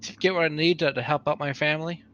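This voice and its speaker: A man acts out a money-troubled space freighter hand for a tabletop game. He sounds bright and forward, talking in casual, halting, grudging phrases, with clear background noise around him. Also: fairly high pitch, steady tone, dull audio, lightly acted. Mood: uneasy, evasive.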